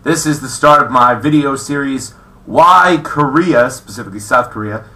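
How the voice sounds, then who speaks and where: A man's voice: mid-range voice, moderate pace, bright, forceful tone. A young man from California talking to camera in a rambling, casual way, full of fillers and restarts, recorded in his small apartment. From California, USA. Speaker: vlogger. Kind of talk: casual complaining monologue. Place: apartment in South Korea.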